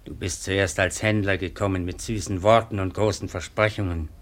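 An actor playing a weary, calculating old Malay ruler in a radio drama, commanding and deliberate in manner. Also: male voice, sits low, steady tone, close to an everyday voice. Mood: stern, threatening. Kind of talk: German radio drama acting.

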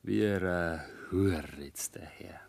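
An actor playing a bitter, stubborn young farmer's son in a radio drama, brooding and accusing in Bavarian dialect. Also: male voice, low-pitched, slow pace, creaky, a little mellow, dry recording, clean studio sound, heavily acted. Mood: sullen, reproachful.

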